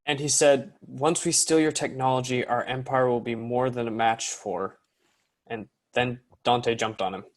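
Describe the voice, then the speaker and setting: A man plays a young man recounting a battle in a sci-fi script read. He is serious, in a plain medium-pitched voice. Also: fairly even intonation, a little slow, close to an everyday voice. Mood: serious, uncertain. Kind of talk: screenplay table read.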